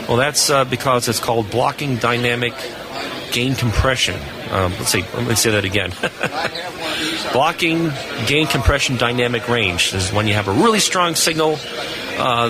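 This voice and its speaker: Enthusiastic man explaining over convention crowd noise. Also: medium pitch. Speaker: radio lab expert guest. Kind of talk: casual technical explanation in a live interview. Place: busy hamfest exhibit hall, live booth. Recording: live from a crowded convention floor.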